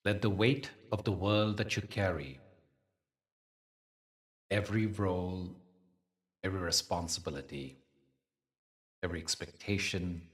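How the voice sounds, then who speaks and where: Slow, deep-voiced man guiding meditation. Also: recorded from a distance. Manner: soothing, deliberate, pausing between phrases. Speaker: meditation teacher. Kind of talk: guided meditation, spiritual teaching.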